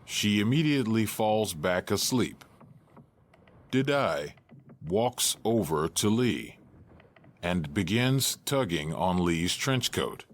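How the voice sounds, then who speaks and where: A male narrator with a deep voice reads scripted stage directions. He is detached and unhurried, yet his pitch moves widely. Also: big pitch swings. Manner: detached, matter-of-fact.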